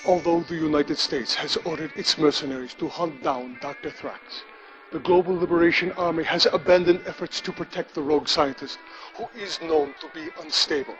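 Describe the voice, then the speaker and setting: A man's voice: fairly high pitch, normal speed, dull audio, music in the background, close to an everyday voice. An actor playing a solemn, partisan male war correspondent delivering a scripted news report in a video game. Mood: solemn, defiant.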